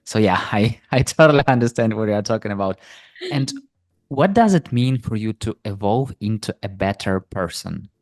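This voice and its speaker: A man's voice: lowish voice, animated, normal speed, no echo, clean studio sound. A man hosting a podcast interview, asking curious follow-up questions. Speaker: podcast host.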